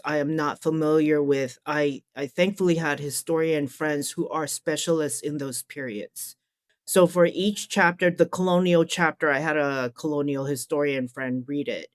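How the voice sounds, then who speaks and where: A woman's voice: low voice, no room sound, studio quality. A middle-aged woman answering as an interview guest and academic author, candid, colloquial and emphatic.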